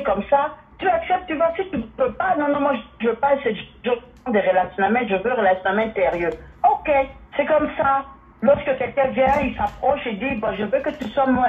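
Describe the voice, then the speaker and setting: A woman who grew up speaking Portuguese, calling into a talk show and speaking emphatically, repeating herself and exclaiming hallelujah. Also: on the high side. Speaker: caller. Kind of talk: opinionated phone-in talk. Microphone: phone line. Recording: tinny phone line, faint noise.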